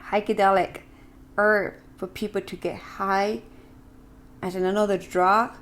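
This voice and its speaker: Woman, Ecuadorian Spanish accent, medium pitch, earnest explainer, deliberate, emphatic pauses. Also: level tone, fairly bright tone, slight background noise. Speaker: shamanic practitioner and video host. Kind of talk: earnest explanatory talk to camera.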